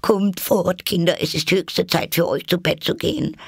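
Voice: mid-range voice, normal speed, vocal fry, husky, no room sound, studio quality, voice pushed up. A reader voicing an anxious mother canary in a storybook with a piping, trembling voice. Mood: frightened urging.

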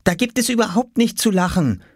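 A man voicing an excitable, bossy, impatient little boy in a children's storybook, exclaiming and scolding urgently. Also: sits high, fairly quick, dry recording, clean studio sound, pitched above the performer's own voice. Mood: annoyed, bossy.

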